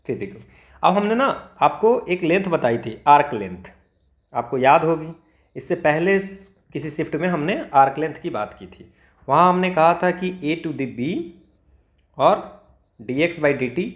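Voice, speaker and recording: A man with an Indian accent teaching in an informal, step-by-step way, mixing two languages and often checking that listeners follow. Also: fairly high pitch. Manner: informal, step-by-step, checks understanding with frequent tags. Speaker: maths teacher for exam preparation. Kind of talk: explanatory lesson mixing Hindi and English. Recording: echoey room sound.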